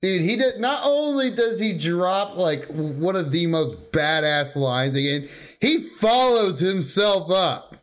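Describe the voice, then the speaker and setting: A man's voice: sits high, animated, slow pace, few pauses, a bit bright. A man co-hosting a podcast, talking about games in casual, slangy, emphatic fan talk. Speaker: podcast co-host. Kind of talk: casual gaming chat.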